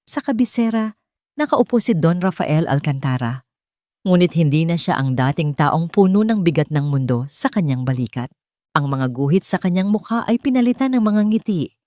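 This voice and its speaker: A woman's voice: low-pitched, lively intonation, moderate pace, dark tone, dry recording, studio quality. A woman narrating a scripted dramatic story.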